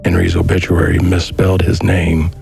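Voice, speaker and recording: A man reading a scripted documentary narration in a measured, formal manner. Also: sits low, monotone, vocal fry. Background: music.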